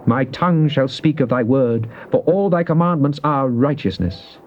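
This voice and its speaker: Man acting devout male psalmist, mid-pitched, measured dramatic Bible reading, dull old tape. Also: lively intonation, darkish tone, slight background noise, close to an everyday voice. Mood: earnest, pleading. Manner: solemn, measured, theatrical. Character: devout psalmist pleading and praising God. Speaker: scripture reader.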